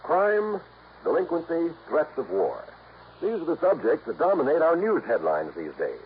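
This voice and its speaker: An American man working as a radio announcer, delivering a 1950s-style public-service message in an earnest, measured, formal manner. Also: fairly high pitch, huge pitch swings. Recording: tinny, faintly noisy old radio broadcast.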